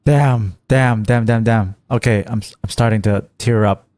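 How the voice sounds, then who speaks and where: A man's voice: on the low side, steady tone, measured pace, a little mellow, dry recording. A man doing casual live reaction commentary as a game streamer, slangy and exclamatory, on a close mic.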